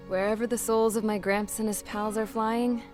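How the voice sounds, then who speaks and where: A woman's voice: on the high side, lightly acted. A woman playing a plain-spoken, gutsy young pilot and mechanic, narrating her own story in a scripted game. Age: young adult.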